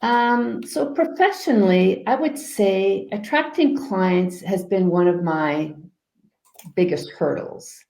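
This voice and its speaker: A woman coach answering interview questions in a calm, soft-spoken way, with frequent fillers and restarts. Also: mid-range voice, takes it slowly, vocal fry, echoey room, poor audio. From Canada. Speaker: guest life coach.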